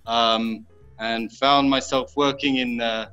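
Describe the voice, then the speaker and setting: A man in his thirties from Israel, a winemaker explaining his work informally, pausing and repeating words as he goes. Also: medium pitch, steady tone, pushed and bright, smooth. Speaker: winemaker, guest. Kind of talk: informal virtual tour explanation. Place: underground stone wine cave. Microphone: phone or laptop at arm's length. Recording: dull lo-fi video-call audio, music behind.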